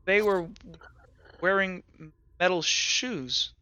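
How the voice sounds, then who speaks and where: A man playing a halting, stammering tracker in a tabletop game, terse and practical. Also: sits high, pushed and bright, clear, resonant tone, no echo, highs cut off, full character voice. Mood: halting, grim.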